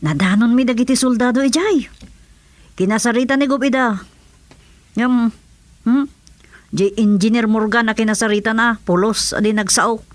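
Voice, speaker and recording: A woman's voice: fairly high pitch, moderate pace, pauses often, dry recording, close to an everyday voice. A woman playing a confident, reassuring aide in a radio drama, with an emphatic delivery. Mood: reporting.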